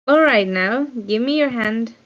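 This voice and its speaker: Woman as a female witch mentor, commanding, unsentimental, fairly high voice, screenplay read, dull online sound. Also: lively intonation, measured pace. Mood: coaxing, impatient. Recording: muffled online meeting audio.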